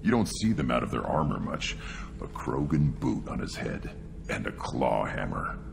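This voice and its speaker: An actor playing a young, brutal, brooding alien warrior in a video game, musing intensely in a halting growl. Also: male voice, big pitch swings, full character voice. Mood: brooding fascination. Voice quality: very deep gravelly growl. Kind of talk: video game character dialogue. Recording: long reverb, music behind.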